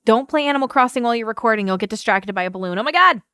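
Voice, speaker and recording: A woman's voice: on the high side, animated, rapid-fire, pushed and bright, no echo, studio quality. A woman who does amateur voice acting, casually mocking her own stumbles between takes. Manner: self-mocking, stumbling over words. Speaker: amateur voice actor. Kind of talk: rehearsing lines with casual outtakes.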